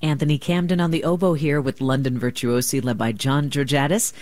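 A woman's voice: very deep voice, steady tone, a little fast, a little mellow. A woman hosting a classical radio station's pledge drive, chatty and enthusiastic, repeating the number from the studio. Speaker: radio host.

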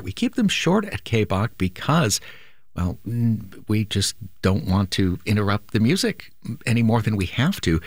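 A man hosting a classical music radio station, making a calm, measured pledge drive appeal from a studio microphone. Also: on the low side, no room sound. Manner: calm, measured, sometimes drops to a near whisper.

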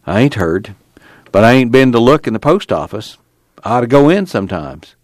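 A man voicing a lonely, resigned country drifter in an audiobook, wistful and quietly stubborn, with folksy rural dialect. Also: mid-range voice, sing-song, moderate pace, dry recording, clean studio sound, close to an everyday voice. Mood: wry, reflective.

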